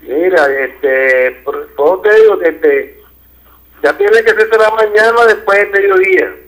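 A man's voice: high-pitched, takes it slowly, a bit bright. A man with a Venezuelan accent in a casual phone conversation as a repairman, heard over a phone line. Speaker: air-conditioning repairman.

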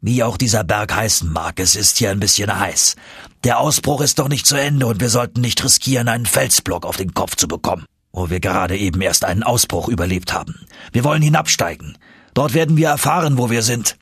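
A man voicing an excitable, commanding older professor in an audiobook, with an agitated, emphatic manner. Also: lowish voice, brisk, breathy edge, no echo, clean studio sound. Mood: brisk, insistent. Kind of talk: audiobook character acting.

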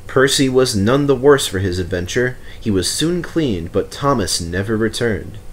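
A man's voice: medium pitch, lively intonation, moderate pace, slight background noise. A man reading a scripted children's story aloud as narrator. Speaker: storybook reader.

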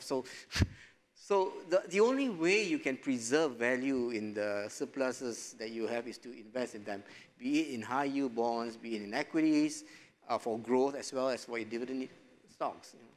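A middle-aged man answering as an investment panelist in a studio discussion, relaxed and chuckling, with lots of "you know" fillers, on a lapel mic. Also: medium pitch, moderate pace. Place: studio stage set.

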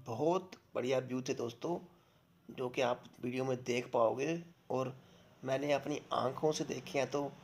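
A man's voice: medium pitch, normal speed. A man giving a casual, enthusiastic voice-over to viewers about a train trip. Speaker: travel vlogger. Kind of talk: voice-over narration.

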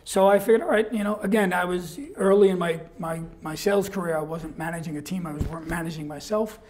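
An older man with a New York accent tells stories as an interview guest. He is animated, acts out the people he quotes, and laughs often. He is seated in a small studio. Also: sits high, fast talker. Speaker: guest, guitar company rep. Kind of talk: casual storytelling interview. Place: small studio set with backdrop.

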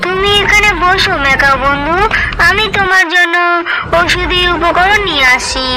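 A woman's voice: very high-pitched, normal speed, rough recording. A woman voicing an earnest, kindly young fairy in a fairy-tale cartoon, sweet and declamatory. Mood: caring.

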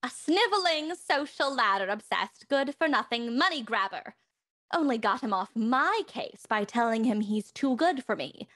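Woman playing arch, animated high-voiced socialite. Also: normal speed. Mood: scornful. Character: haughty, leisured socialite woman houseguest, breezily evasive. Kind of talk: radio mystery acting, live online table read.

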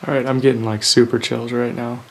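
A man's voice: mid-range voice, level tone, fairly quick. A man chatting casually as a paranormal investigator inside an abandoned house. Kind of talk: casual chat during a ghost hunt.

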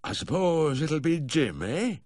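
A man's voice: fairly high pitch, very animated, darkish tone, dry recording, clean studio sound, voice pushed up. A man voicing a tearful, drunken little Yorkshire dog lover, slurring and pleading in a sentimental audiobook character voice. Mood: drunkenly affectionate. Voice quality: slurred, whining. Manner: pleading, sentimental, drawn-out wailing.

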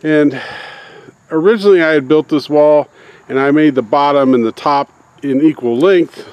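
A man's voice: a little high, normal speed, darkish tone, smooth, no echo. A middle-aged man casually explaining his backyard greenhouse build outdoors, rambling and plain-spoken with lots of fillers, recorded on a handheld camera. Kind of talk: casual how-to vlog narration.

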